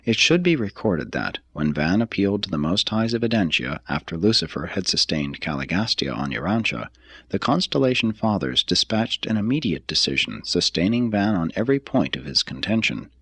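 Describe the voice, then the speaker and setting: Really deep husky guy narrating a religious audiobook, solemn and measured, very dry close sound. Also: fairly bright tone, dry recording. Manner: measured, solemn, formal delivery. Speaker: reader.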